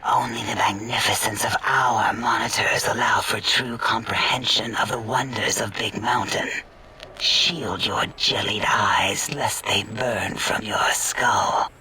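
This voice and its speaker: Game role: superior robot intellectual, lofty and precise, piercing timbre, slightly distant pickup. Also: male voice, fairly low pitch, fairly even intonation, unhurried, sharp tone, a little hiss or hum. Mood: grandiose. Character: haughty, superior robot scientist scorning human flesh. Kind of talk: video game character acting.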